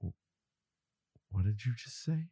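A man playing a devoted, protective boyfriend in a dramatic audio roleplay, with an emotive, halting delivery. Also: lowish voice, expressive, creaky, dark tone, husky, no room sound, exaggerated voice. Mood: wary, dismissive. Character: devoted boyfriend of a vampire, protective, frantic, defiant.

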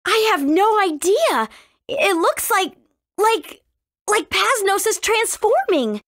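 A woman's voice: extremely high pitch, big pitch swings, slow pace, a bit bright, dry recording, clean studio sound, full character voice. A woman playing a spirited, earnest young girl adventurer in a video game, speaking expressively. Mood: alarmed.

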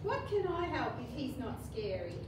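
A woman's voice: high voice, sing-song, normal speed. A woman playing a cheeky little animal sidekick in an amateur pantomime. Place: community room with seated audience, far camera. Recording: distant, echoey, noisy hall recording with crowd.

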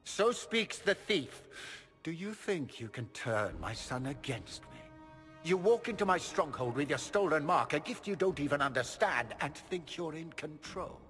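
Villain: smooth, menacing middle-aged magister, grandiose; reverberant hall, soft score underneath. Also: male voice, on the high side, lively intonation, moderate pace. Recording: game audio with hall reverb and music.

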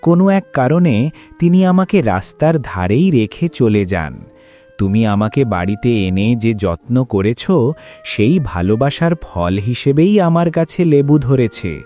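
Man voicing a mellow magical talking tree. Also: medium pitch, lively intonation, a little slow, faint music behind, close to an everyday voice. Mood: kindly, reassuring. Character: kindly magical talking lemon tree, grateful. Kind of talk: cartoon fable voice acting.

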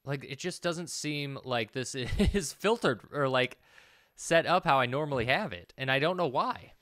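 A man's voice: medium pitch, expressive, moderate pace, fairly bright tone, no room sound. A man in his thirties giving casual let's play commentary, thinking aloud and muttering to himself as he plays. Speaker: gaming video host.

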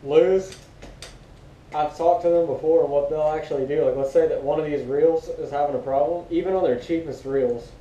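Young man, American accent, casual review chat, dull lo-fi sound, faint rumbling noise. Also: steady tone, moderate pace, warm tone. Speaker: co-host of fishing gear reviews. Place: living room at home, indoors. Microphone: camera a few metres away. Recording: dull muffled roomy audio, rumbly background noise.

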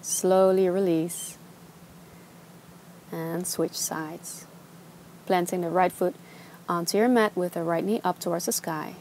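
A young woman teaching a gentle yoga class, giving slow, soothing instructions. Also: lowish voice, a little hiss or hum. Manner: calm, slow, soothing cues. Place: outdoors on a beach.

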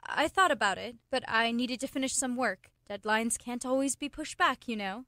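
Girl acting sharp bright young girlfriend in a radio play, quick witted n headstrong, kinda high voice. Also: no echo, clean studio sound. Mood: awkward, wistful. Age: young adult. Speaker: student actor.

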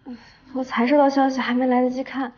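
A woman's voice: sits high, level tone, fast, darkish tone, muffled recording. A woman in her twenties playing an eager, persistent young TV planner in a screen drama, earnest and persuasive. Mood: pleading, determined. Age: 20s. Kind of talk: naturalistic screen drama acting.

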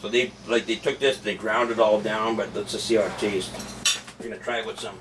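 A man's voice: lowish voice, fairly even intonation, fairly quick. Chatty man vlogging from a home kitchen. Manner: chatty, offhand, rambling with restarts. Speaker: food vlogger. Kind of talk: casual cooking and tasting vlog. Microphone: camera mic set back in the room. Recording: distant camera mic with background noise.

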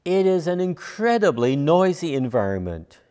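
An older man delivering a measured, scripted sermon as a preacher, deliberate in his phrasing. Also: on the high side, sing-song, darkish tone. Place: large church sanctuary, at a lectern. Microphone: lapel mic. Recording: long church reverb.

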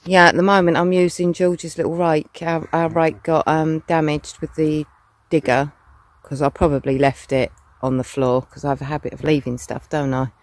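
Loud chatty woman outdoors. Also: low voice, little pitch movement, moderate pace, hardly any pauses, dry recording. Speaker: vlogger. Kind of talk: casual chat to camera. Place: outdoors on rural grounds.

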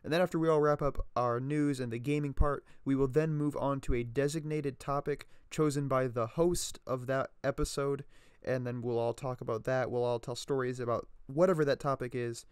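A man's voice: medium pitch, fairly even intonation, normal speed, hardly any pauses, clear, resonant tone, dry recording, clean studio sound. A man hosting a podcast in a casual, chatty style. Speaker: podcast host.

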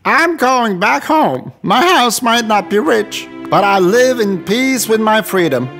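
A man's voice: high voice, expressive, measured pace, gravelly, fairly bright tone, soft background music, full character voice. A man voicing a humble, plain-spoken country mouse in a children's fairy tale. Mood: indignant, weary.

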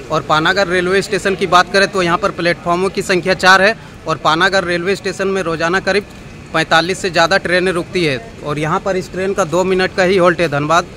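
A man narrating his train journey for a travel vlog, listing station facts as he goes. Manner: informative, reels off station facts. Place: inside a moving train. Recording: loud train noise behind. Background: train rumble.

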